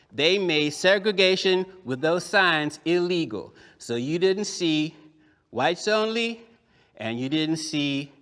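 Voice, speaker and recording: A man with a fairly high voice tells a story to children in a big reverberant hall. His delivery swings widely in pitch. He stresses and repeats phrases for dramatic effect, stretching out key words. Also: a bit bright. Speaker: puppeteer and storyteller. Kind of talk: animated storytelling performance for children. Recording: echoey hall with long reverb.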